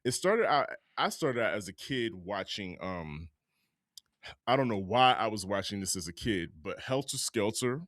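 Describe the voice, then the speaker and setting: A man with a fairly low voice talks casually as a podcast co-host. He is emphatic and repeats words for effect. The sound is close and dry. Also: sing-song, normal speed, fairly bright tone, no echo.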